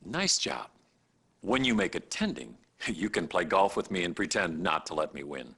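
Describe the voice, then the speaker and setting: Pompous middle-aged chief doctor, self-satisfied, lowish voice with very animated pitch. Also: male voice, moderate pace, husky, exaggerated voice. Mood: mock jovial. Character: smug, vain, lazy hospital chief of staff. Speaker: actor in game cutscenes. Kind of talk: live-action video game cutscene acting.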